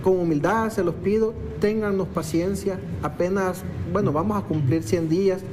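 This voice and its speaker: A man with a high voice and a Salvadoran accent talks as a politician invited onto an interview show. Noise is clearly audible in the recording. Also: steady tone, moderate pace. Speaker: legislator, talk show guest. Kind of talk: interview closing remarks, casual chat.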